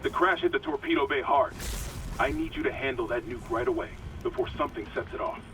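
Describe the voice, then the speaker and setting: A man's voice: mid-range voice, fast, fairly bright tone, far from the mic, slight background noise, natural voice. An actor playing a commanding, plain-spoken male security officer in a video game cutscene. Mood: firm, steadying. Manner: authoritative, plain-spoken, profane.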